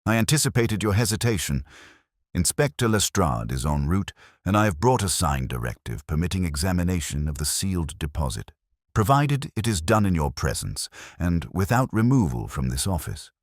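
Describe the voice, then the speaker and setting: A man voicing a composed, shrewd Victorian detective in a storybook reading, with precise, formal, deliberate speech. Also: low-pitched, sing-song, dry recording, clean studio sound. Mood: confident, formal.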